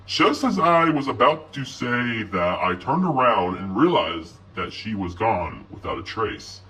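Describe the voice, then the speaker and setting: A man telling a scripted horror story in an expressive, first-person narration. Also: lowish voice, a little slow. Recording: voice distant from mic.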